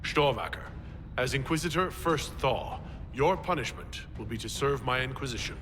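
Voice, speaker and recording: An actor playing a courteous, composed male commander and hero in a fantasy game, speaking in a measured, earnest way. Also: mid-range voice, animated, over music, lightly acted. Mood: formal.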